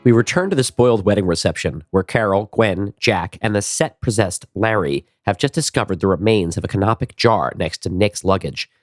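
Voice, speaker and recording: Low-voiced male narrator, dry sound. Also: expressive, a little fast, hardly any pauses, no room sound. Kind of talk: dramatic scripted narration.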